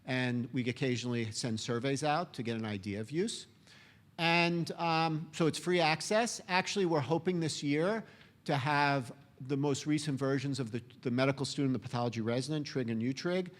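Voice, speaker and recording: A man presenting at a scientific conference at an ordinary, middle pitch. He talks in a loose, conversational way and keeps it informal. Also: moderate pace. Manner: relaxed, conversational with fillers. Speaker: conference speaker. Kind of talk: conference talk, casual.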